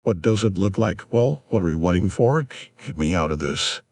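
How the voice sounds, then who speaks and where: A man playing a guilt-ridden, gruff older newspaper boss in a comic dub. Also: sits low, animated, normal speed, a little mellow, no room sound, studio quality. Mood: irritable.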